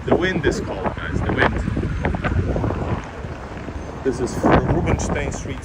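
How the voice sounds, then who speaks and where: A man's voice: mid-range voice, very animated, moderate pace. A man whose first language is Russian, chatting casually to viewers while livestreaming a walk outdoors on a windy city street. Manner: chatty, addresses viewers as guys, rambling asides. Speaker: livestreaming travel vlogger. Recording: loud outdoor traffic noise. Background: loud traffic and street noise.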